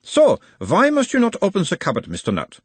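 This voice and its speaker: A man reading an audiobook does a calm male hypnotist with a mild Uberwaldian accent, pitched up above his usual voice. The questioner is soothing yet insistent, and the husky tone stays close and dry. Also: fairly quick, dry recording, exaggerated voice.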